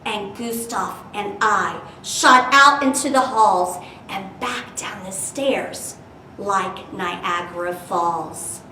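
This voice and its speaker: A woman reading aloud as a children's storyteller, expressive and dramatic, talking to young children. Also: medium pitch, slow. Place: indoor room, virtual storytime. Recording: roomy, distant mic with background noise.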